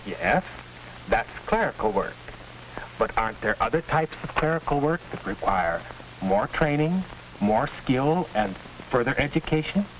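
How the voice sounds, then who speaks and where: A middle-aged man playing a formal, courteous school counselor in a 1940s educational film, with a measured, didactic manner. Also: mid-range voice, sing-song, poor audio. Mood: courteous, guiding. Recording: hissy 1940s film soundtrack.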